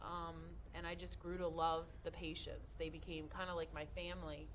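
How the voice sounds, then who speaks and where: A woman from Delaware, a nurse guest, speaking in a friendly, hesitant way to young listeners with many pauses and fillers. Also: lowish voice, flat delivery, a little hiss or hum, rough recording. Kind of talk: casual talk to young audience.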